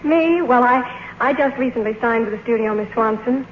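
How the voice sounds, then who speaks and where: A woman's voice: fairly high pitch, moderate pace, slight background noise. A young woman, a newcomer actress, making eager, deferential scripted chat on a 1950s radio show. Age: young adult. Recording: echoey, tinny vintage radio broadcast.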